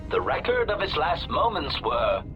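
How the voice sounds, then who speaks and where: An actor playing a polite but sinister protocol droid in a video game, with robotic processing and formal, pedantic delivery. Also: male voice, fairly high pitch, huge pitch swings, normal speed, lo-fi sound, over music. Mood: coolly courteous. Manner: formal, pedantic, labels statements before saying them. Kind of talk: scripted video game dialogue.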